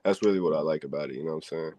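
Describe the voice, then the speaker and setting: A man's voice: rumbling low, very fast, warm tone, husky, no echo. A young man, a wrestler guest on an interview, talking in laid-back slang and saying "you know what I'm saying" constantly. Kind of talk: casual interview chat.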